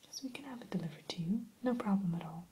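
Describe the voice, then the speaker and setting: A woman's voice: medium pitch, animated, slight background noise, close to an everyday voice. Whispering, genteel evil queen shopkeeper played by a woman. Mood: pleased, genteel. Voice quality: soft breathy whisper. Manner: polite, unhurried, primly formal with hesitant pauses. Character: genteel evil queen running an enchanted mirror shop. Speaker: shopkeeper character in ASMR roleplay. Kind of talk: whispered ASMR roleplay.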